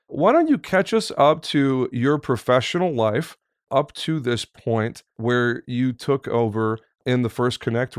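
A man's voice: medium pitch, dry recording, studio quality. A man hosting a podcast, talking in an upbeat, enthusiastic way and joking with his guests. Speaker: podcast host.

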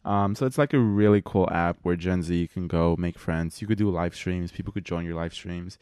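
Low-voiced young man chatting casually. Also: normal speed, darkish tone, smooth, no echo, clean studio sound. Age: young adult. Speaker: podcast host. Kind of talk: casual chat.

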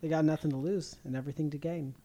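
Laid-back, mellow-voiced man. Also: on the high side, fairly even intonation, fast. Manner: laid-back, filler-heavy. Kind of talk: casual chat.

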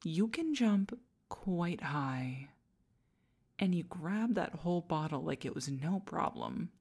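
A woman's voice: on the low side, expressive, slow pace, a little mellow, breathy edge, heavily acted. A woman playing a haughty, easily flustered demon queen in an ASMR roleplay, speaking in imperious, pompous commands. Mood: haughty, amused. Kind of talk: ASMR roleplay addressed to the listener.

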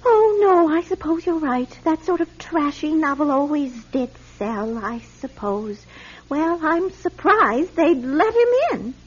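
A woman's voice: sits high, lively intonation, unhurried. An actress playing a gullible, easily scandalized elderly lady in an old-time radio drama, fluttery and prim. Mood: prim, fussy. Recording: dull, band-limited radio drama recording.

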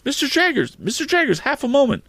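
A man voicing an excitable woman who stammers and repeats herself as she begs a lawyer. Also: extremely high pitch, quick pace, no room sound, voice pushed up, exaggerated voice. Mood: frantic pleading.

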